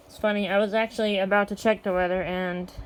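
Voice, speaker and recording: Flat-toned American woman chatting offhandedly. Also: medium pitch, quick pace, clean tone, poor audio. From United States. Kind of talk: casual vlog chat.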